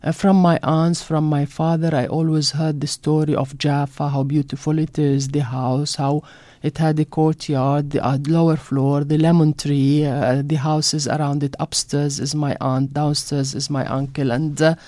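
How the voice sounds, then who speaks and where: Middle-aged woman with an Arabic accent, mellow, dry. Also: low voice, flat delivery, moderate pace, no echo. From Jordan (Amman). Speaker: author, interview guest. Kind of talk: personal storytelling in an interview. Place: broadcast studio.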